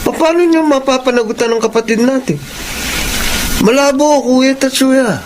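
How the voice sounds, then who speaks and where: A high-voiced, fussy young brother. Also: male voice, moderate pace, noisy background. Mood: worried. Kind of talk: Filipino radio drama acting.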